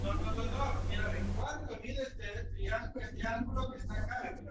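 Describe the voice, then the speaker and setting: A woman's voice: fairly high pitch, fairly even intonation, moderate pace, heavy background noise. A woman tutoring math one-on-one, patiently repeating guiding questions. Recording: roomy, distant mic.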